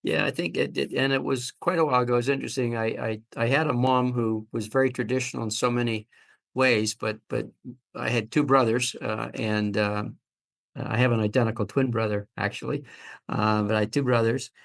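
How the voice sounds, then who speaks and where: A man in his seventies answering as an interview guest, speaking conversationally and haltingly, often repeating words as he reflects. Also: medium pitch, steady tone, creaky, fairly bright tone. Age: 70s. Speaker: fertility doctor, interview guest.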